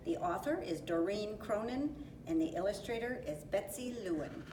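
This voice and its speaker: A woman reading a children's storybook aloud in a deliberate, expressive way. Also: mid-range voice. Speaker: community volunteer reading a picture book aloud. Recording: roomy echo, distant mic, faint noise.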